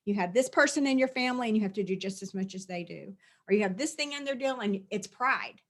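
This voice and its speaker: A woman's voice: medium pitch, animated, quick pace. A middle-aged woman, a spiritual teacher, talking earnestly through a release session, often repeating and listing phrases.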